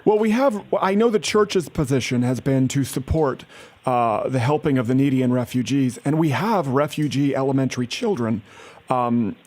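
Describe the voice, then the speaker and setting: A man's voice: medium pitch, expressive, moderate pace, darkish tone. A man making a prank phone call as an earnest-sounding aid worker, pushing persistently. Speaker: prank caller.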